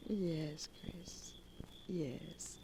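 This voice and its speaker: An actress playing a vain, yearning young woman in a 1940s radio drama, breathy and sighing. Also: sits low, fairly even intonation, drawn-out words, noisy background, exaggerated voice. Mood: lovestruck.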